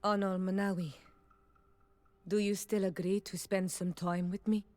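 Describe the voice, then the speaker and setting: An actress playing an earnest, gentle young island tribeswoman in a video game, speaking in measured, formal phrases. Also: mid-range voice, little pitch movement, lightly acted. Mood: earnest, forthright. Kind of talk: video game romance dialogue acting.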